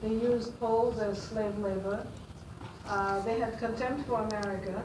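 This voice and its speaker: A woman's voice: medium pitch, steady tone, reverberant. Older Austrian woman, distant in a noisy room. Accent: Austrian German. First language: German. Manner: halting, many fillers and restarts. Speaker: guest speaker to students. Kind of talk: classroom talk.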